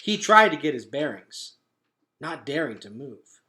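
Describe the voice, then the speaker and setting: A man reading a children's book aloud as a teacher would, with dramatic, expressive narration. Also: a little high, unhurried.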